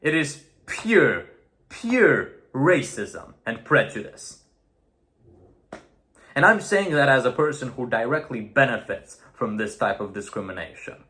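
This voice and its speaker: A man's voice: mid-range voice, sing-song, a little slow, sharp tone. Blunt young man, Romanian native speaker. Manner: earnest and blunt, swears for emphasis. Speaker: video essayist. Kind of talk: opinionated monologue to camera.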